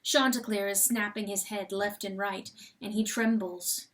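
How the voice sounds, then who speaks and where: Bright, slow, dramatic female narrator. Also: mid-range voice, steady tone. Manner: intense, deliberate. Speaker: audiobook narrator.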